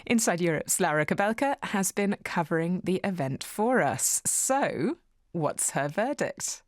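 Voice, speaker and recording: A woman's voice: fairly low pitch, lively intonation, measured pace, dry recording, studio quality. Wry woman news podcast host.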